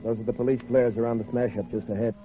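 An American actor playing an earnest, dutiful young hospital intern in a 1940s radio drama. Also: male voice, mid-range voice, level tone, quick pace, soft background music, lightly acted. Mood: earnest, businesslike. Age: young adult. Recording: old radio recording, tinny narrow band.

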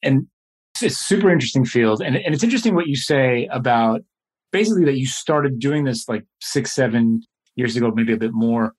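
A man's voice: mid-range voice, expressive, brisk, breathy edge. A man hosting a podcast, interviewing a guest with curious follow-up questions. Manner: inquisitive, asks follow-up questions. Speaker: podcast host.

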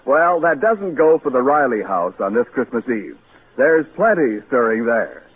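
A man's voice: mid-range voice, big pitch swings, normal speed, slightly rough. A man announcing a 1940s radio show in a declamatory, scripted style. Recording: tinny, noisy 1940s radio recording.

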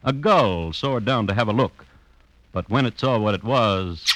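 An actor playing a dry, deadpan crime chronicler narrating a 1950s radio show with measured storytelling. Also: male voice, on the low side. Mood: droll, deadpan. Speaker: host and narrator. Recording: hissy old radio broadcast.

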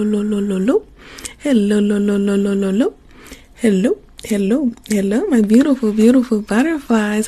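A young woman with a naturally quiet, soft speaking voice talks casually to her livestream viewers, reading out and answering their comments. Her voice carries a light gravelly fry at mid pitch. She sits right at a taped microphone, with a faint rustling noise underneath. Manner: chatty, answers viewer comments, trails into whispers. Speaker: ASMR livestream host. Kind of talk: casual live-stream chat with viewers. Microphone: close mic with tape on it.

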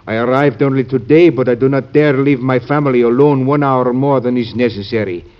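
A man's voice: mid-range voice, a little fast, natural voice. Humble, fervent male petitioner, acted. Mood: humble, grateful. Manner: solemn, careful, formal diction. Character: humble, fervent male islander pleading for his people. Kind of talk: classic American radio drama acting. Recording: dull band-limited old radio recording.

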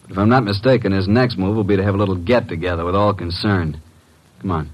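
An actor playing a brisk, tough detective's assistant with a casual, wry way of talking, in old-time radio mystery style. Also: male voice, low voice, fairly even intonation, lightly acted. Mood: rueful. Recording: noisy old radio recording.

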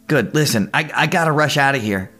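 Young male student voiced by an actor, audio drama; earnest, awkward, mid-pitched with expressive swings, music behind. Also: fairly quick, natural voice. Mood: affectionate, teasing. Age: young adult. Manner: hesitant, casual.

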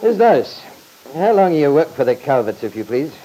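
An actor playing a patient, courteous lawyer who coaxes a witness along in an old-time radio drama. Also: male voice, fairly high pitch, sing-song, moderate pace, mellow, husky. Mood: patient, probing. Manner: coaxing, courteous, folksy. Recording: noisy old radio recording.

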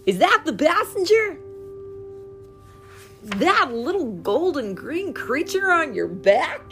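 A woman voicing a big, jolly male salmon who talks in a loud, booming voice. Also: very animated, slow pace, faint music behind, exaggerated voice.

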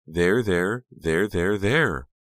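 A man's voice: low voice, big pitch swings, moderate pace, fairly bright tone, no room sound, studio quality, voice pushed up. A man voicing a smothering, overly sympathetic teenage girl in an audiobook, with a cloying, exaggeratedly soothing manner. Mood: gushing sympathy. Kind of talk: audiobook character dialogue.

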